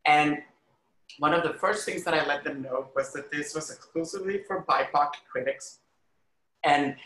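Guy from honduras chatting as a podcast guest, earnest and emphatic, bright kinda high voice, echoey room. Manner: earnest, emphatic, frequent "like" and "you know". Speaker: arts critic and educator, podcast guest. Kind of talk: conversational interview, personal storytelling.

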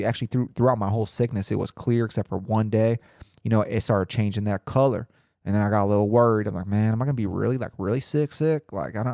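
A man's voice: fairly low pitch, vocal fry, mellow, no room sound, studio quality. A young man hosting his own podcast in casual, rambling, profane talk, a little congested and nasal from a cold. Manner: profane, rambling, laid-back. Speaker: podcast host.

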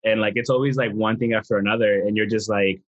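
Young male from Venezuela. Lower register, earnest conversational delivery, close dry slightly dull audio. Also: steady tone, fast talker, no echo. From Venezuela, later United States. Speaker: podcast participant. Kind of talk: casual reflective conversation.

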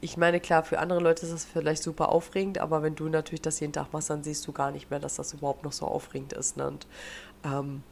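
Low-voiced chatty German woman with a cold. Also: flat delivery, fast, vocal fry, darkish tone, a little hiss or hum. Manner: chatty, lots of fillers and slang. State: congested, nasal from a cold. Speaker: podcast co-host. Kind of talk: casual chat. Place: living in the United States.

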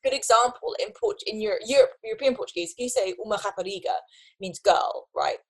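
A young woman in casual conversation, animated, often restarting her sentences and laughing between thoughts. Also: mid-range voice, fast. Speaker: guest.

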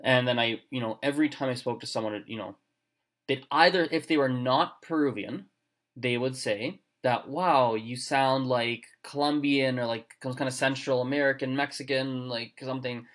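A man hosting a casual chat, talking in quick bursts full of fillers and restarts. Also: medium pitch, fairly bright tone. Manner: chatty, lots of fillers and restarts. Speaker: podcast host. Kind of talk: casual conversation.

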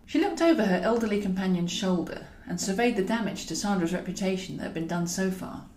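Expressive British woman narrator, roomy sound. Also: medium pitch, brisk. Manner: wry, expressive. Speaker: author and narrator. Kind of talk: scripted comic audiobook narration. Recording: roomy, echoing voice recording.

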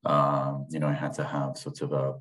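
A man's voice: very deep voice, completely flat delivery, moderate pace, fairly bright tone. A man in his thirties, raised in England after a childhood that began in Thai, talking reflectively and hesitantly as a podcast guest. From England, grew up in Swindon. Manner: reflective and hesitant, with many fillers and restarts. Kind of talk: casual interview.

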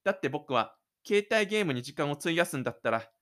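Audio drama role: male actor as defensive high-school loner, male, cocky and scornful, talking to himself, voice a little high. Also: big pitch swings, fast talker, a bit bright. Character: shy, stubborn high-school boy boasting in his head. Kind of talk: inner-monologue radio drama acting.

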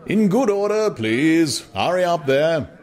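A man voicing a jaunty young male official who barks orders in a loud, bossy, peremptory way, in an audiobook. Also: on the high side, very animated, slow pace, voice pushed up. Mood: bossy, brisk.

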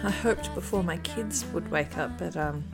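A woman's voice: on the low side, moderate pace, creaky, loud music behind the voice. A woman in her thirties hosting a casual chat over a remote call, talking easily with frequent ums and light laughs. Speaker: podcast host.

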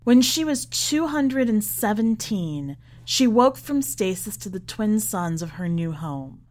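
A woman reading literary fiction aloud as scripted narration, with measured, deliberate phrasing. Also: medium pitch, very animated, a little mellow. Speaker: podcast host reading a short story.

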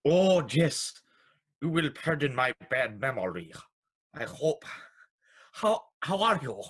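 An actor playing a polite, fussy, apologetic clock repairman in a radio drama, with a husky, hesitant, formal delivery. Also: medium pitch, very animated, slow pace, a bit bright, heavily acted. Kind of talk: vintage radio drama acting.

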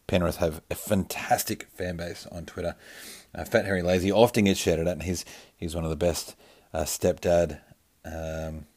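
A man's voice: deep voice, a little mellow. A man hosting a sports podcast, with a jokey, rambling, mock-grand way of introducing. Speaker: podcast host. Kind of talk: casual comic podcast intro.

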